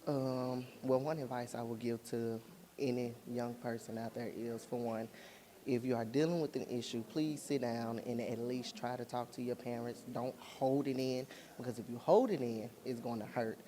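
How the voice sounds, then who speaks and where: A man, an actor giving an interview in a plain, measured, sincere way. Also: medium pitch, fairly even intonation, dark tone. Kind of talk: interview talk.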